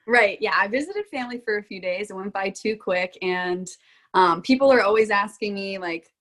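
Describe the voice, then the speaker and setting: A woman's voice: medium pitch, normal speed, fairly bright tone. Bubbly young woman from Florida. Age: young adult. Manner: bubbly, chatty, laughs often. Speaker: guest. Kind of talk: casual podcast interview.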